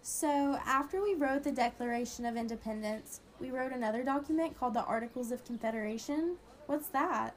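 Woman acting as excitable, curious young woman, higher-pitched. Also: brisk, few pauses, natural voice. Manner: girlish slang, questioning. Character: excitable young woman, curious about how government works. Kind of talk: amateur scripted radio drama dialogue.

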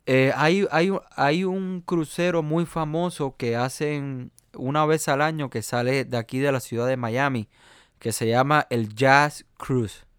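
A man's voice: mid-range voice, fairly even intonation, a little slow, smooth, no echo, studio quality. A man with a Cuban accent, a jazz pianist talking in an interview, hesitant with many fillers and restarts. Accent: Cuban Spanish. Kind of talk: conversational interview.

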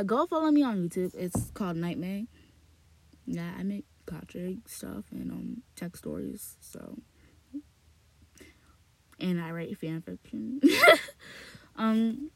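Woman podcaster in a small closet, reads slowly, then chats loosely, repeating words and filling pauses. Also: mid-range voice, darkish tone, no echo, rough recording. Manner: rambling, repeats words, frequent fillers and restarts. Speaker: podcast host reading a novel aloud. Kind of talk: slow read-aloud, then rambling casual chat.

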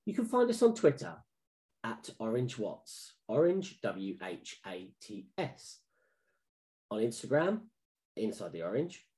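Man, podcast host, mid-pitched voice, friendly, rambling questions. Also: big pitch swings, a little slow, dark tone. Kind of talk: podcast interview.

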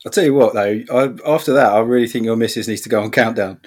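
A man's voice: medium pitch, quick pace. A man in casual comedy chat, making dry teasing jokes and laughing.

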